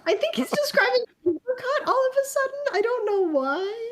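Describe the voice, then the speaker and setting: A woman's voice: extremely high pitch, sing-song, moderate pace. A woman reading fanfiction aloud as co-host of a comedy show, moving between dramatic narration and casual banter.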